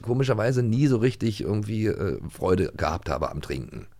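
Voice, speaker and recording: A middle-aged man co-hosting a show in casual chat, telling things enthusiastically in a halting, repetitive way. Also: fairly low pitch, very animated, creaky, warm tone. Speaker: co-host of an audio drama magazine show. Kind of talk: casual chat, reviewing audio plays.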